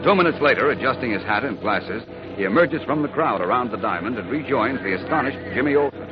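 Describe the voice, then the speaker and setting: Man narrating old radio serial, dramatic, fast, muffled w music behind. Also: medium pitch, expressive. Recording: muffled vintage radio recording.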